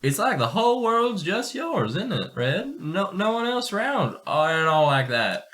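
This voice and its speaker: Man as a naive, wildly animated young boy sidekick. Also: high voice, moderate pace, pitched above the performer's own voice. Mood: wondering. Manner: hesitant, folksy. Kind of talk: amateur radio-play reading.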